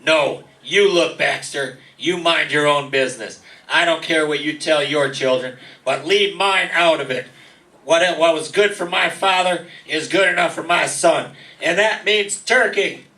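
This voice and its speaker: A man's voice: on the high side, a little slow, sharp tone. Actor as an angry, echoey, indignant neighbor man. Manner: gruff, confrontational. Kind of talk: radio comedy play acting. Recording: echoey room, distant mic.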